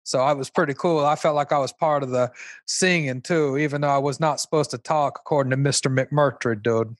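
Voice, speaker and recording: A man's voice: medium pitch, brisk, no room sound, clean studio sound, close to an everyday voice. A man playing an easygoing, enthusiastic slacker host who talks like a laid-back dude in a comedy radio interview. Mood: chummy, boastful. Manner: laid-back surfer-dude talk, constant "dude". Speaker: talk show host.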